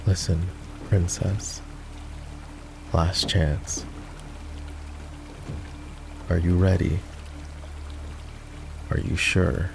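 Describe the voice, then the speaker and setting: Man playing a deep, gravelly, sardonic knight. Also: flat delivery, very slow, long pauses, over music, full character voice. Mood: wary, instructing. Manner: sardonic, teasing, commanding. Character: gruff, sardonic fantasy knight, grudgingly escorting a princess. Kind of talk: roleplay ASMR voice acting.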